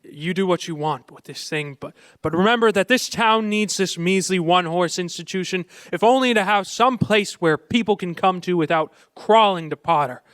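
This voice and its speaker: An actor playing an earnest young small-town man with a halting, folksy, stammering delivery in a radio play. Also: sits high, fairly bright tone, clean tone, no echo. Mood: indignant, earnest. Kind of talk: live radio play acting in an old-fashioned manner.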